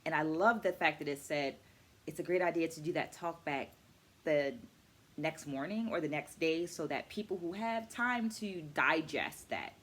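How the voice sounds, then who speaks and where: A middle-aged woman hosting a book video series, talking conversationally to camera in a measured, teacherly, explanatory way. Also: sits low, fairly bright tone.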